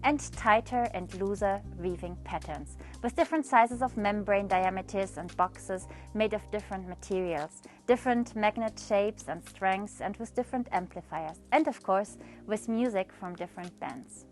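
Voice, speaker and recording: A woman's voice: medium pitch, normal speed, hardly any pauses. Woman presenting over music. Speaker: textile artist presenting own project. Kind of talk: scripted presentation.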